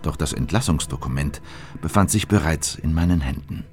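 An actor playing a sober chronicler, narrating a political documentary drama in a scripted style. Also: male voice, a bass voice, moderate pace, darkish tone, soft background music. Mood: sober, suspenseful.

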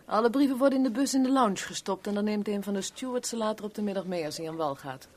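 A woman's voice: mid-range voice, fast, close to an everyday voice. Worldly, sardonic woman played by an actress in radio drama. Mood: teasing, probing. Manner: wry, teasing, blunt. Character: worldly, sardonic kept woman, bored and frank. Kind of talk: 1970s Dutch radio play acting.

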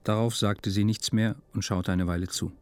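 A man's voice: on the low side, fairly even intonation, moderate pace, no room sound. A man narrating a literary radio drama from a script in a sober, matter-of-fact way. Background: music.

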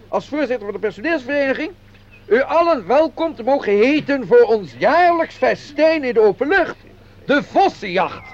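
An actor playing a fussy, obsequious male company administrator who speaks formally and pompously in a radio play. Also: very high voice. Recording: dull lo-fi radio play recording.

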